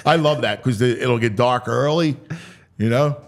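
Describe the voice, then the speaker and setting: A man's voice: mid-range voice, normal speed. An American man hosting a sports talk show in casual banter, repeating and restarting phrases for emphasis.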